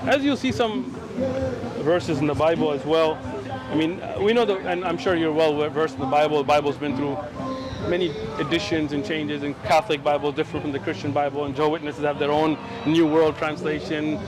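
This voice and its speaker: A man's voice: a little high, fairly even intonation, fairly quick, poor audio. A young man from Pakistan, raised in San Diego, chatting persuasively about faith with strangers on a city street and often checking agreement with "right?". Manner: friendly, persuasive, frequent "right?" tags. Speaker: street preacher.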